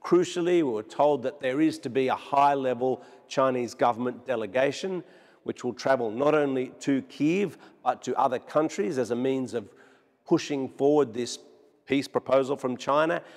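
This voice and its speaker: Male foreign correspondent, medium pitch, explanatory report, long reverb on the voice. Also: moderate pace, a little mellow. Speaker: news correspondent. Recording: long reverberant room echo.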